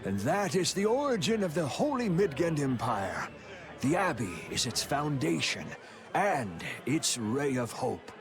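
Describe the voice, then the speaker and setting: A man's voice: on the high side, sing-song, a little slow. A man voicing a proud, earnest townsman in a video game, emphatic and loyal. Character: proud, devout townsman loyal to his rulers. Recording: music behind the voice.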